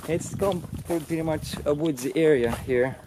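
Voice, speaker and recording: A man's voice: on the high side, moderate pace. Man vlogging on a noisy trail. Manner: chatty, talks straight to camera while hiking. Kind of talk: casual travel vlog. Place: outdoor mountain trail. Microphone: handheld camera at arm's length. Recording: loud outdoor noise on camera mic.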